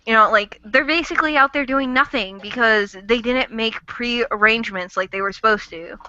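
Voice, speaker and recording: A woman's voice: fairly high pitch, normal speed, sharp tone, smooth. A woman hosting a casual fan chat, rambling with lots of fillers. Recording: lo-fi audio.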